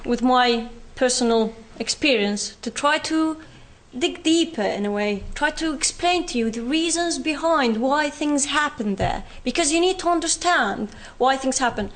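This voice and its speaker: A young woman with a Palestinian British accent, giving an earnest talk on her own experience, often pausing with fillers. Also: high-pitched, expressive. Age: young adult. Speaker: guest speaker. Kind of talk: university talk from personal experience.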